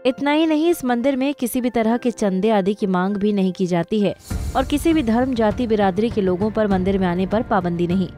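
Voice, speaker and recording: A woman's voice: mid-range voice, a little fast, mellow. A woman reading a scripted news report as the narrator. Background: music.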